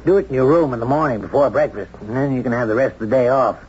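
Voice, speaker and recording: A man's voice: medium pitch, rapid-fire, darkish tone, lightly acted. A man acting a brash, domineering businessman in a 1970s radio drama, commanding, teasing and cynical. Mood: brisk. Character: brash, domineering high-stakes businessman, sardonic boss. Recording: muffled 1970s radio broadcast, some hiss.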